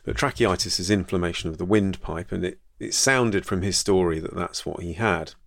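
A man's voice: sits low, moderate pace, gravelly, a bit bright, no room sound. A man hosting a solo podcast as a doctor, talking through a health topic in a conversational, thoughtful way with fillers and restarts. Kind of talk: solo educational podcast talk.

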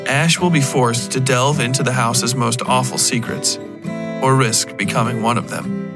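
A man reading scripted narration for a dark fiction podcast in a measured, dramatic storytelling style. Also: medium pitch, steady tone. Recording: music bed behind voice. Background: plucked guitar music.

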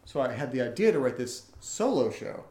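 A man co-hosts a casual question-and-answer podcast. His pitch is middling, and the room he records in adds clear echo. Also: very animated, brisk, a little mellow. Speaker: podcast host and voice actor. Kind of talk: casual chat, Q&A. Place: indoor room, live-streamed session. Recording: echoey room.

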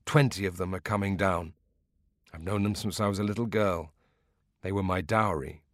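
A man voicing a grieving village woman with quiet, sorrowful restraint in an audiobook story. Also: deep voice, fairly even intonation, moderate pace, no room sound, clean studio sound, close to an everyday voice. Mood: restrained grief. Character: grieving village woman, dry-eyed, mourning her doomed trees.

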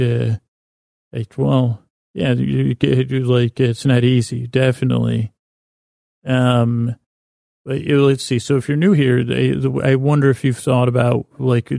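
A man with an American accent and a creaky, gravelly voice delivers a meandering bedtime monologue as a podcast host. His tone is dark and relaxed, with very little rise and fall in pitch. He stumbles, restarts and interrupts himself as he wanders along. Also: no room sound, clean studio sound. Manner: meandering, halting, with stammered false starts and self-interruptions. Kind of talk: rambling bedtime monologue to help listeners sleep.

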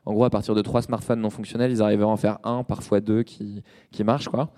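A man's voice: lowish voice, flat delivery, fast talker, warm tone, long reverb tail. A man in his thirties from France talking casually and informally in an interview, with slangy, hesitant phrasing. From Nantes, France. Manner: informal and slangy, hesitant with many trailing tags. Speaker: startup co-founder, podcast guest.

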